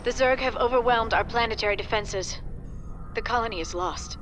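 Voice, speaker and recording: An actress playing a gentle, anxious female doctor pleading for help for her colony. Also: fairly high pitch, moderate pace, over music, close to an everyday voice.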